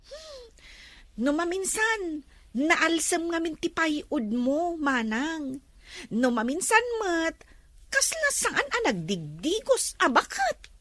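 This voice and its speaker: A woman's voice: very high-pitched, big pitch swings, slow, a bit bright, no room sound, heavily acted. A woman playing a giggly, vain younger sister. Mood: teasing. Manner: teasing, giggly. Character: vain younger sister fussing over beauty. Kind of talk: comedy web drama acting.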